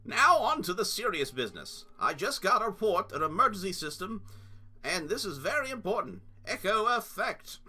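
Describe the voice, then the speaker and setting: A man's voice: sits high, big pitch swings, normal speed, sharp tone, husky, pitched above the performer's own voice, heavily acted. A man voicing a jokey male radio DJ broadcasting at the end of the world, in breezy announcer patter. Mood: mock serious.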